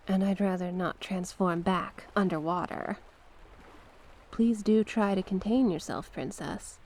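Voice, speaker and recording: A woman voicing a seductive, manipulative sea witch in an ASMR roleplay, soothing and possessive, coaxing and teasing with breathy whispers. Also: fairly low pitch, darkish tone, heavily acted. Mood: teasing, amused. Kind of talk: ASMR roleplay, slow hypnotic monologue.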